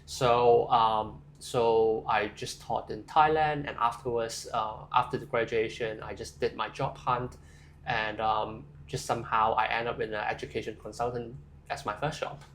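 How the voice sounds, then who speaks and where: A man's voice: mid-range voice, little pitch movement, moderate pace, a bit bright, slight background noise. A young man from Hong Kong, answering interview questions casually and thoughtfully, with frequent fillers and restarts. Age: young adult. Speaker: interview guest.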